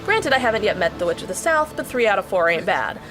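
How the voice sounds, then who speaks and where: A woman's voice: mid-range voice, very animated, quick pace, music in the background, close to an everyday voice. A woman playing a plucky, bossy young heroine with a sassy, emphatic delivery in an audio drama. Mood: bold, commanding. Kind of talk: audio drama acting and first-person narration.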